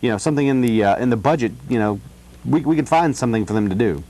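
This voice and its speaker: An American man in a casual phone conversation, talking in a halting, rambling way with frequent "you know". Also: mid-range voice, animated, warm tone. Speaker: city council candidate on a phone call. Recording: telephone call.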